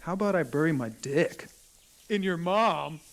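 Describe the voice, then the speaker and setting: Crude mouthy teen boy, played by a man, sarcastic, vulgar, a bit high-pitched. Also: lively intonation, measured pace, gravelly. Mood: mocking. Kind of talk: audio drama acting.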